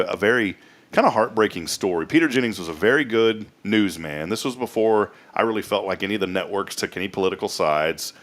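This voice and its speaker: Casting: American male talk host, late thirties, lowish voice, folksy and rambling. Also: a bit bright. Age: late 30s. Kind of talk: casual chatty monologue.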